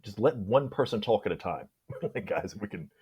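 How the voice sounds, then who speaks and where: A man with a low, slightly rough voice appears as a podcast guest. He chats casually about a film, conversational and emphatic in his reactions. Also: lively intonation, normal speed, darkish tone, no echo. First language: English.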